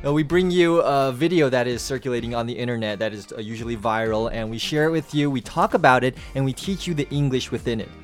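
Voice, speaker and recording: Fast-talking male radio host, American accent. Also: medium pitch, soft background music. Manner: fast, easygoing, laughs along. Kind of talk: casual radio chat.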